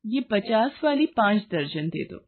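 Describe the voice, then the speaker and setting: A woman's voice: medium pitch, big pitch swings, unhurried, narrow, tinny audio. A woman voicing a kind, generous rich young woman in a moral story, polite, confident and decisive. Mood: confident, friendly. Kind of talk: acted dialogue in a moral story.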